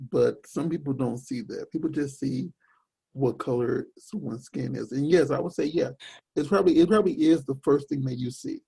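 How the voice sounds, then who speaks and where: A young man with a deep, gravelly voice talks casually on a podcast. His tone is husky and mellow, and he wanders through his points with many fillers. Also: low-quality recording. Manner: rambling, searching for words with many fillers. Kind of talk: casual chat.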